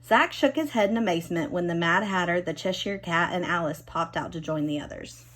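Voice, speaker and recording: A woman reading a children's storybook expressively, with dramatic emphasis and drawn-out key words. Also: fairly low pitch, a bit bright. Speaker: storybook reader.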